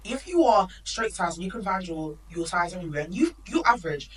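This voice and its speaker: A woman's voice: lowish voice, big pitch swings. Opinionated woman, slightly distant mic. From Nigerian heritage. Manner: opinionated, emphatic, quick asides. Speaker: podcast co-host. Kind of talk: casual chat.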